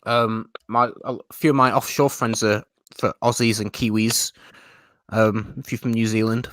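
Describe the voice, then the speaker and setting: A man's voice: on the low side, little pitch movement, normal speed, fairly bright tone, dry recording. A man from Yorkshire with a Yorkshire accent, hosting a casual live-stream chat and answering viewer comments. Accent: Yorkshire English. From Yorkshire, England. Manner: chatty, reads out viewer comments and answers them. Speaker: host.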